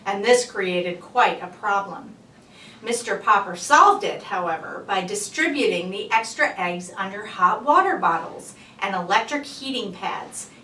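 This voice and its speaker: A woman reading a children's storybook aloud like a librarian, with deliberate phrasing and emphasized words. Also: a little high, bright, forceful tone. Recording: roomy echo, distant mic, faint noise.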